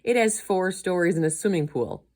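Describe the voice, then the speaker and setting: A woman's voice: lowish voice, expressive, normal speed, a little mellow, pitched above the performer's own voice. A woman voicing a smug, boastful neighbor in a children's storybook read-aloud.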